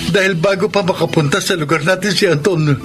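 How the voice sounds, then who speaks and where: An actor playing an excitable young Filipino cousin and office worker in a radio drama, with a reactive, animated delivery. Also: male voice, high voice, fairly quick, over music, natural voice. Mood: sober, convinced. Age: young adult.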